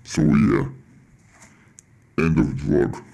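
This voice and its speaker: Weary male repair worker, acted by a man, slow, hesitant, casually sweary, dictating an employee log. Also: dark tone. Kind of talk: rambling employee audio log.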